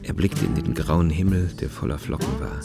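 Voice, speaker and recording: A man's voice: extremely low pitch, moderate pace, vocal fry, over loud music. A man reads literary narration for a radio play.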